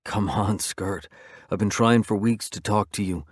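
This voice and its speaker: A man voicing a cocky young man who calmly goads his old friend into a fight. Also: on the low side, unhurried, breathy edge, dry recording, studio quality. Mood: taunting.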